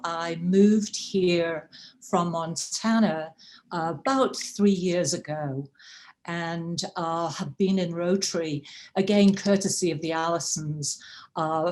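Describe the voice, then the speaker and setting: A woman with a Welsh accent and a fairly low voice talks her club through her background. She is chatty and self-deprecating, with plenty of uhs, heard over a video call. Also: takes it slowly, darkish tone. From rural North Wales. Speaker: club member giving a talk. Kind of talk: personal presentation at a club meeting.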